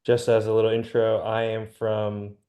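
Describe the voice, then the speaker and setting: A man's voice: fairly low pitch, monotone, moderate pace, dark tone. A young man from New Jersey introducing himself as a student panelist. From New Jersey, United States. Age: young adult.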